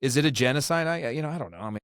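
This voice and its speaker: A man's voice: medium pitch, expressive, very fast, a bit bright, no room sound. Opinionated, rambling male host, dry room. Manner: opinionated, rambling, many restarts. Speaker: podcast host. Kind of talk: casual political discussion.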